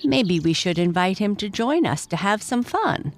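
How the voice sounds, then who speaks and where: A woman's voice: medium pitch, sing-song, normal speed, faint music behind, voice pushed up. A woman voicing a smart, kind female pet dog with a polite, cheerful manner in a children's story. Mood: kind, hopeful.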